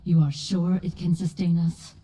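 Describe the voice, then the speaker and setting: A woman's voice: fairly low pitch, little pitch movement, normal speed, mellow, slightly rough, low-quality recording. A woman voicing a proud female elf in a fantasy video game, formal and dramatic in delivery. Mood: grave, hopeful.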